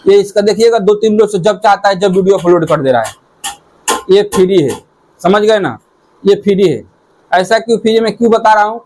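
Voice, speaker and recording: High-pitched man commenting. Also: lively intonation, moderate pace, darkish tone, a little hiss or hum, rough recording. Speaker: YouTube commentator. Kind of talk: informal commentary to viewers.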